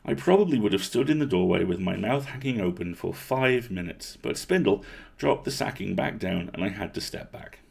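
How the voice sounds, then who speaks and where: Male storyteller, low and gravelly, husky edge, expressive audiobook-style narration of a first-person tale. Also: moderate pace. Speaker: story reader.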